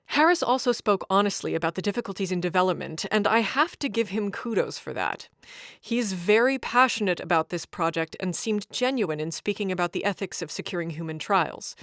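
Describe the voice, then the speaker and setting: A woman's voice: mid-range voice, no room sound, natural voice. Woman acting as a lady tech show host reading the news, polished, emphatic delivery, really dry sound. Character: enthusiastic, skeptical female tech show host. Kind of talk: scripted tech news presenting.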